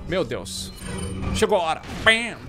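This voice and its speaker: A man's voice: high-pitched, very animated, measured pace, loud music behind the voice. A Brazilian man in his thirties giving casual, slangy, exclamatory commentary as he plays a video game. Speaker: gaming streamer. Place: small indoor room. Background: video game soundtrack and effects.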